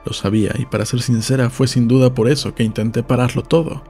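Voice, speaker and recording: A man's voice: mid-range voice, fairly even intonation, fast talker, darkish tone, soft background music. A man reading a literary story aloud as a scripted audiobook narration.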